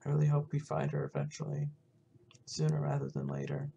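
A low-key, unhurried gamer casually narrating a roleplay game session. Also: very animated, gravelly, dark tone, dull audio, a little hiss or hum. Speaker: gaming video host.

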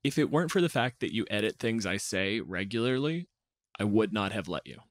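A man's voice: fairly low pitch, very animated, brisk, dry recording. A man hosting a casual podcast in a sardonic, grumbling, exasperated manner. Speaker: podcast host.

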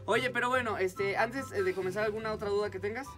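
Quick-talking young Mexican man. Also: high voice, music in the background. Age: young adult. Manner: checks in with repeated questions. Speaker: voice dubbing coach. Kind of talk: casual instruction and chat. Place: small recording studio.

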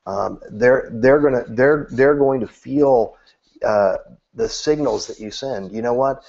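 A man's voice: medium pitch, measured pace, gravelly. A man in his thirties giving church marketing advice on a video call, earnest, with frequent restarts. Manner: earnest, animated, gestures with both hands; repeats words when restarting. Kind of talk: interview answer, advice. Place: small room, seated on a couch. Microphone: video call webcam. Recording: dull lo-fi webcam sound.

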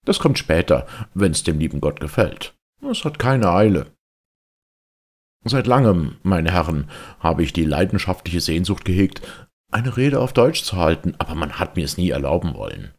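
A man playing an ironic humorist orator, delivering a theatrical, mock-earnest formal speech with dramatic emphasis in a husky, gravelly voice. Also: deep voice, very animated, moderate pace, heavily acted. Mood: wry, musing. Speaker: reader. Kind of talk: theatrical literary speech reading.

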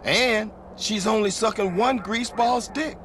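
A man's voice: high-pitched, measured pace, noisy background. An actor playing a sadistic, corrupt policeman in a video game, taunting and theatrically menacing. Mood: gloating. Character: sadistic corrupt policeman, mocking male villain. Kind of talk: video game cutscene acting.

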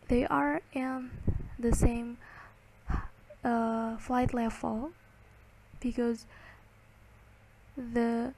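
A woman giving a slide lecture, reading and explaining in halting, word-by-word phrases. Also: fairly high pitch, mellow, breathy edge, rough recording.

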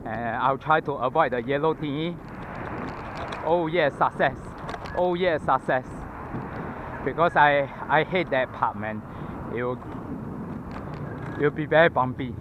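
A man's voice: medium pitch, unhurried, pauses often. A Singaporean man with a Singaporean English accent, chatting casually and thinking aloud with fillers as he rides a scooter outdoors, a little out of breath. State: panting from exertion. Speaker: vlogger. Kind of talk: casual chat while riding. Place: outdoors on a city roadside pavement. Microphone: head-mounted action camera mic. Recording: lo-fi action camera audio with street traffic. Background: passing traffic.

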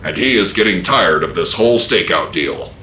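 A man narrating an old-time radio drama as a portentous, deliberate announcer. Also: low voice, expressive, bright, forceful tone, roomy sound, slight background noise. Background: orchestral score.

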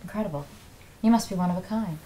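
A woman's voice: mid-range voice, fast, mellow, slight background noise, close to an everyday voice, rough recording. A woman acting a polite, curious android representative in a scripted drama read, courteous and composed. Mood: composed, interested. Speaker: actress reading an android representative at a table read.

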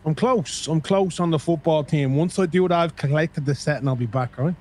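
A man's voice: on the high side, fairly quick, darkish tone, slight background noise. A man in his thirties on a headset mic, teasing a friend in a put-on Irish accent and swearing freely. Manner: puts on a fake Irish accent, then a mock American one. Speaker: streamer playing a roleplay character. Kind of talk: casual banter over voice chat.

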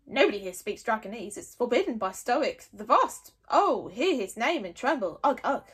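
A man's voice: extremely high pitch, big pitch swings, normal speed, a bit bright, breathy edge, heavily acted. A woman voicing a male Viking warrior who tries to sound noble and dignified. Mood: pompous. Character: pompous Viking warrior, noble-minded and boastful.

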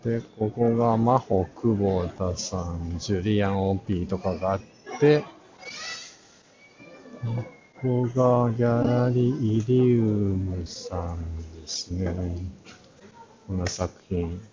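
Male vlogger, low and slow, halting casual tour commentary, muffled sound amid crowd bustle. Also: background noise. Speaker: art channel vlogger giving a walk-through. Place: large crowded indoor exhibition hall. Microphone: action camera at arm's length, face mask on. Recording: muffled audio in a busy crowded hall.

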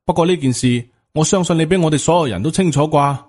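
A man's voice: fairly high pitch, fast, darkish tone, voice pushed up, lightly acted. Resentful young male disciple played by a male narrator, cold accusing manner, Hong Kong Cantonese accent, audiobook drama. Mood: sarcastic, suspicious. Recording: music under the voice.

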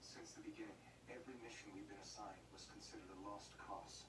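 An actor playing an earnest, devoted young military captain in a dubbed anime drama, played back from a TV across a room. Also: male voice, lowish voice, steady tone, fairly quick, reverberant, distant mic, natural voice. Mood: earnest, subdued. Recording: TV playback picked up across a noisy room.

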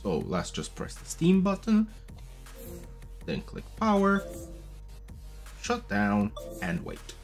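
A man in his thirties casually walking viewers through a device setup step by step, chatty and patient, recorded at a desk with a boom mic. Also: huge pitch swings, slow pace, pauses often, soft background music. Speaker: tech video host. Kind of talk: casual tutorial walkthrough. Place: small room at a desk. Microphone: studio mic on a boom arm, wearing headphones.

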